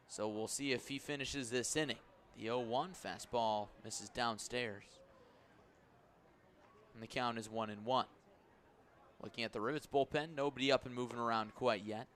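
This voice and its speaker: A man's voice: mid-range voice, fairly bright tone, slight background noise. A man doing live baseball play-by-play on the radio in clipped phrases, stretching key words. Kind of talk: live sports commentary.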